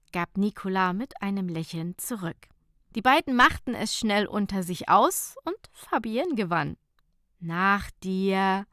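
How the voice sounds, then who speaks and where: A woman's voice: mid-range voice, a bit bright, no room sound, studio quality. A woman narrating a light, humorous short story as an audiobook reader, in a scripted reading style.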